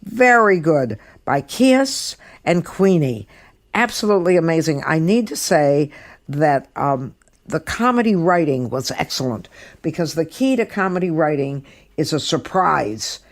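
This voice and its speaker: A woman's voice: low-pitched, lively intonation, unhurried, no echo. A woman hosting a podcast, presenting and commenting in an emphatic way, often repeating words for stress. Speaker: guest podcast host.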